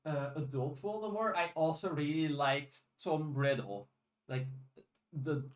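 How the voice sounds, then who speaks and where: A man with a fairly high voice talks casually as a podcast co-host. He often repeats his first words while working toward a point. The recording has a strong room echo around him. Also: measured pace. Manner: hesitant, repeats words as he starts sentences. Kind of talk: casual discussion of audiobooks.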